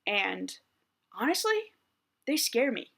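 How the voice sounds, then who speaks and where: A man in his thirties with a higher-pitched voice speaks candidly to the camera. He thinks out loud in a reflective, personal way, with plenty of restarts and "like"s along the way. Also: very animated, slow pace, creaky, breathy edge. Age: 30s. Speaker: vlogger.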